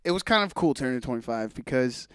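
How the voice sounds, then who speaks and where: This is a man in his mid-twenties with a clear, smooth voice, recorded dry and close. He talks like a podcast host in casual conversation. His delivery is wry and a little hesitant, as if working out each thought while he says it. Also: medium pitch, animated, quick pace, a bit bright, no room sound.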